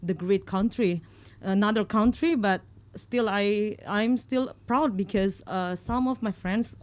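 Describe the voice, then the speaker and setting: A woman from Indonesia with an Indonesian accent, speaking as a radio guest in halting English. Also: mid-range voice. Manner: halting, many fillers and restarts. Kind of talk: casual talk in English as a second language.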